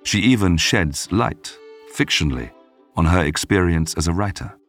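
A man narrating a scripted documentary podcast in a measured, polished storytelling manner. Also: deep voice, animated, soft background music.

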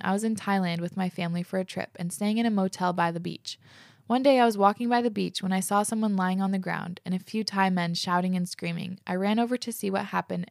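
A young woman hosts a podcast, reading listener letters aloud and then chatting about them loosely, full of "like" and the occasional swear word. Her voice has a gravelly, fry-tinged edge and sits at an everyday middle pitch. The sound is close and dry, with no room around it. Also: dry recording. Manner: reads listener messages aloud, then reacts candidly with swearing and "like" fillers. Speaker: podcast host.

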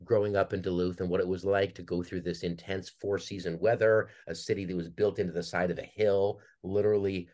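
A man's voice: low-pitched, level tone, a little fast, hardly any pauses, a little mellow. A middle-aged man with a Minnesota accent, talking earnestly and reflectively as an interview guest. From Minnesota, United States. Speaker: podcast guest, theater director. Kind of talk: conversational interview.

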